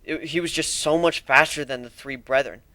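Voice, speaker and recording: A man's voice: fairly high pitch, normal speed, bright, forceful tone, no echo. A man giving a dramatic first-person narration of a fantasy action story.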